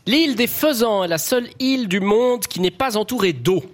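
Guy doing deadpan fake facts, kinda noisy clicky recording. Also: sits high, normal speed. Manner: dry wordplay, mock-serious. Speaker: panelist. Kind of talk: comic quiz answer. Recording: clear noise, clicks.

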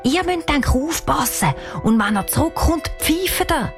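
A woman's voice: sits high, animated, measured pace, soft background music, voice pushed up. A woman voicing an anxious, timid young girl in a children's story, worried and pleading, with a Swiss German accent.

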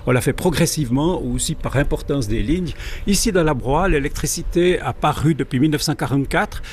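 A man's voice: a little high, a little fast, a little hiss or hum. A man with a Swiss French accent, a guest on a radio show, explaining things thoroughly and with digressions. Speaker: guest, local rail historian. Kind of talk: radio interview.

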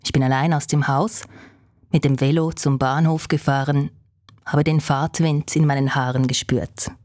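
Low-voiced woman hosting a podcast. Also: fairly even intonation, moderate pace, a little mellow, dry recording, clean studio sound. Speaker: podcast host. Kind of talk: scripted news podcast narration.

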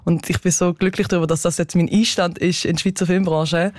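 A young Swiss woman, an actress, speaking with a Swiss German accent as a guest in a radio studio, talking with lively enthusiasm in quick bursts. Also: lowish voice, dry recording. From Switzerland. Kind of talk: casual radio interview.

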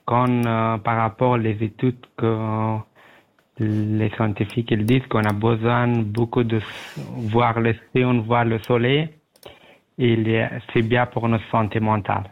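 Slow, creaky Afghan-accented man on the phone. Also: lowish voice, flat delivery. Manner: polite, halting, searching for words. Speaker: radio phone-in caller. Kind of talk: hesitant conversation in a second language. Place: at home, on the phone. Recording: tinny narrowband phone line.

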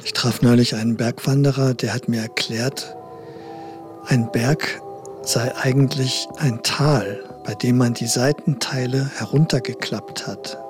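An actor playing a chatty lone hiker with a cold, musing to himself in a wry, rambling way outdoors in the mountains. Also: male voice, medium pitch, steady tone, a little slow, music in the background, natural voice. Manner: wry, musing, self-talking. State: has a cold. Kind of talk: inner monologue and dialogue.

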